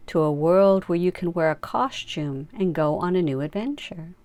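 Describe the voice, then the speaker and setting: A woman leading a guided meditation, giving soft, unhurried instructions to relax and imagine. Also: lowish voice. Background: music.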